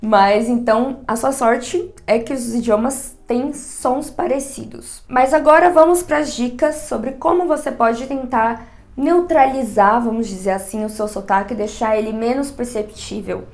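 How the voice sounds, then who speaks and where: Young brazilian woman w/ a são paulo accent, kinda high voice, chatty and frank, vlogging to camera. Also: measured pace, a little mellow. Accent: São Paulo Portuguese. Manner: chatty, frank, emphatic asides. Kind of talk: casual direct-to-camera vlog.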